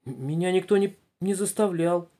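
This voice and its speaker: A man voicing a flustered, guilty schoolboy in a story, halting and stammering. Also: sits high, sing-song, pitched above the performer's own voice.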